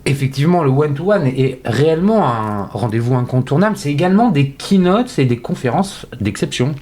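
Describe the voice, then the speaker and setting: A man hosting a podcast interview, talking with lively, expressive intonation. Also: on the high side, brisk. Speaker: podcast host. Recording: roomy echo.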